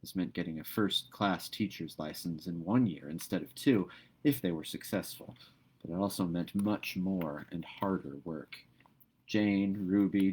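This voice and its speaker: A man with a low voice narrates a classic novel. He reads from the text in an expressive storybook style, as an audiobook reader does. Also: husky.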